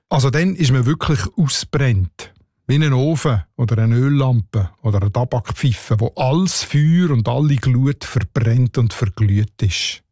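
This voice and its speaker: A man with a Swiss German accent walks through old sayings in a calm, explanatory tone. His voice sits at a middle pitch. The studio sound is close and dry. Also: no echo. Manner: explanatory, measured storytelling. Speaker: radio dialect reporter. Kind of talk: scripted radio feature. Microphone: studio microphone.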